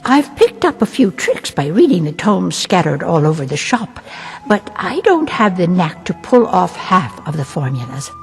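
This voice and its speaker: A plain-spoken apothecary's assistant from a fantasy game. Her voice is on the low side, earnest and weary, with a faintly resentful edge. It rings with long reverb over faint music. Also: creaky, a little mellow, full character voice. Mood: wistful, resentful. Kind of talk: video game character dialogue.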